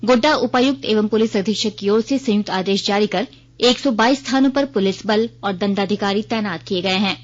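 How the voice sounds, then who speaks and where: A woman's voice: medium pitch, a little fast. A woman reads a formal scripted regional news bulletin on the radio as the newsreader. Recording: dull lo-fi radio broadcast.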